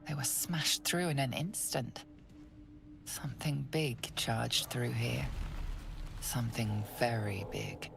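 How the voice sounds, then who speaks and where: A woman voicing the solemn, all-knowing narrator of a fantasy video game in a measured, hushed, deliberate delivery. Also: extremely low pitch, creaky, music in the background, full character voice. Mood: ominous, hushed.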